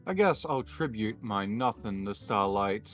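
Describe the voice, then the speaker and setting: A man improvising an anime card-duel roleplay, calling out his moves in a deliberate, mock-formal way. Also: fairly low pitch, animated, lo-fi sound, faint music behind.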